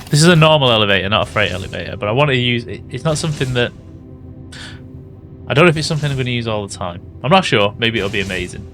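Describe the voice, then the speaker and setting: A British man with a slightly raspy voice, thinking aloud and correcting himself as he casually commentates a building game. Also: medium pitch, a bit bright. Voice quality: slightly raspy from early morning. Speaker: gaming YouTuber. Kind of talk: casual let's-play commentary.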